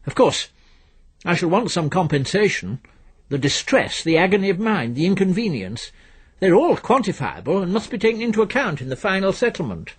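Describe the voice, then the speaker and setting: Male reader as middle-aged solicitor, pedantic, pompous, indignant, formal diction, higher-pitched, audio drama. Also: big pitch swings, a little fast, breathy edge, heavily acted. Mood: aggrieved, pompous.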